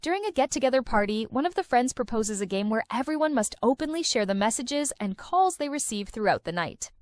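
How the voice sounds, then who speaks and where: A woman's voice: fairly high pitch, very animated, fairly quick, a little mellow, no echo, clean studio sound. A woman narrating a countdown of film and series recommendations in an enthusiastic, recommending tone. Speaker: video host. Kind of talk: scripted list-video narration.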